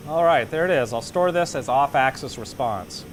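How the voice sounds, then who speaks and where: A man's voice: medium pitch, lively intonation, normal speed, a little hiss or hum. Man explaining step by step. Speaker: audio engineering instructor. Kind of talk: hands-on demonstration lecture.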